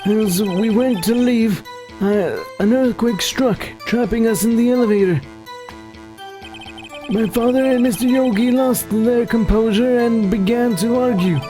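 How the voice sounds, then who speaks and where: A man voicing a solemn, refined, guilt-ridden prosecutor in a video game, speaking formally and gravely. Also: very high voice, measured pace, low-quality recording. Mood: grave recollection. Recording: loud game music underneath. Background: video game music.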